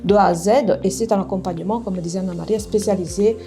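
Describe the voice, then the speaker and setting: A woman from Italy with an Italian accent, presenting her work in an interview as an events manager, with hesitant, halting phrasing. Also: medium pitch, fairly even intonation, dark tone. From Molise, Italy. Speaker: trade fair and events manager.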